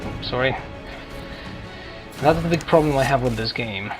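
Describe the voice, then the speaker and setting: A man giving casual, halting gameplay commentary as he hosts a gaming video. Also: medium pitch. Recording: game music and battle noise behind. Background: video game music and combat sounds.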